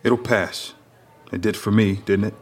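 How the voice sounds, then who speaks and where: A man playing a calm, protective eldest brother in a naturalistic audio drama. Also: lowish voice, measured pace. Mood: reassuring. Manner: even-tempered, reassuring.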